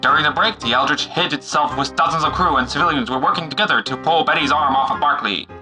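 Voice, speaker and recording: A man's voice: a little high, quick pace, over music, low-quality recording. A man narrating an audio drama in a dramatic, scripted style with theatrical urgency.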